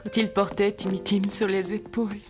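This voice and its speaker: Woman acting a sad gentle mom in a cartoon, music behind her. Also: mid-range voice, level tone, moderate pace, lightly acted. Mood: wistful. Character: gentle, grieving, weary mother hiding her sorrow. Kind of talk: tender French cartoon dub acting.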